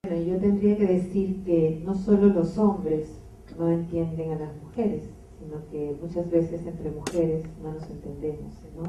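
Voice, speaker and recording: A woman moderating a public debate, speaking off the cuff and deliberately, with pauses between phrases. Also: sits low, steady tone, mellow. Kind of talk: spontaneous introduction opening a public debate. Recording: echoey, distant, dull, slightly noisy room recording.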